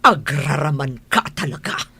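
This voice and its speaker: A woman's voice: low voice, expressive, unhurried, vocal fry, bright, forceful tone, breathy edge, full character voice. An actress playing a haughty, middle-aged rich mother who looks down on others, in a radio drama. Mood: cold disapproval. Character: haughty rich disapproving mother, scornful madam. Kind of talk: Ilocano radio drama acting.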